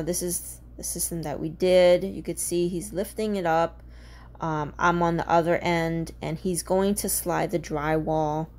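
A woman's voice: deep voice, darkish tone. A woman casually narrating a home renovation video, chatty and full of ums while giving practical tips.

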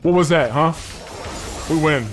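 A man's voice: fairly high pitch, a little slow, soft background music. A man in his twenties chatting casually while gaming, slangy, cocky and playful, on a close desk microphone. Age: 20s. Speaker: gaming streamer. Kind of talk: casual gameplay chat. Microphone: close large desk microphone.

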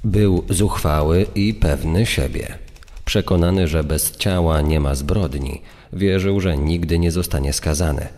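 A man with a very deep, dark voice reads formal documentary narration from a script. A long reverb trails his words. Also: unhurried. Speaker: voice-over reader of quotations.

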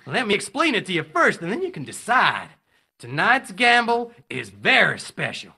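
An actor playing a slick, theatrical game show host in a dystopian audio drama. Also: male voice, high-pitched, huge pitch swings, moderate pace, creaky, bright, forceful tone, breathy edge, full character voice. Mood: showy. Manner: theatrical, gushing announcer delivery.

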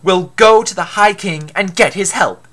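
A bold young adventuring sister from a storybook , put on by a woman. She is excitable and determined, speaking emphatically in a high, bright voice with wide swoops. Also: brisk. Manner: emphatic, exclaiming.

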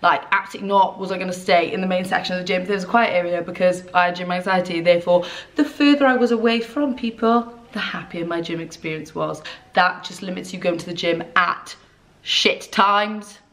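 A woman's voice: fairly low pitch, brisk. Chatty, candid young woman. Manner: chatty, candid, expressive hand gestures, swearing. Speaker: vlogger. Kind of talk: casual chat to camera. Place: small dim room, seated in armchair.

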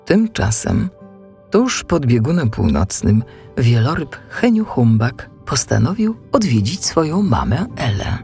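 A woman narrates a children's story in a deep, gravelly voice with plenty of creak. Her scripted storytelling moves expressively up and down, with music behind. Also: sing-song, unhurried, warm tone.

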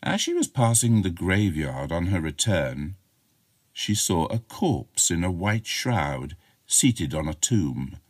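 Deep, husky male storyteller. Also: big pitch swings, slow pace, no room sound. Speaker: audiobook narrator. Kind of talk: dramatic scripted storytelling, chiller.

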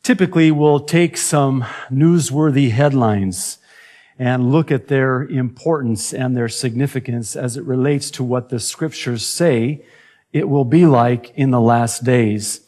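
A man in his forties, a native Arabic speaker, preaching a sermon from a church pulpit into a gooseneck mic with measured, emphatic phrasing. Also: mid-range voice, mellow. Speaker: pastor.

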